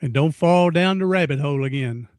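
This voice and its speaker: A man's voice: fairly high pitch, fairly quick, darkish tone, no room sound, studio quality. A man in his sixties hosting a podcast interview, folksy and rambling, often repeating himself. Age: 60s. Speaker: podcast host. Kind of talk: casual interview chat.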